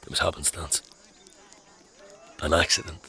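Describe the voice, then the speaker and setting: A man playing a troubled, wry scavenger in a dark fantasy audio drama, gravelly and husky, with a hesitant, halting delivery. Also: rumbling low, level tone, full character voice. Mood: brooding confession. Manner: hesitant, halting, dryly sarcastic. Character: gruff, troubled young male scavenger with inherited powers, wry.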